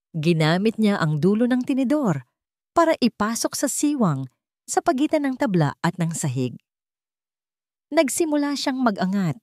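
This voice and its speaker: A woman narrating a scripted story in a dramatic, suspenseful way, in deliberate short phrases. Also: medium pitch, a little mellow, dry recording, clean studio sound.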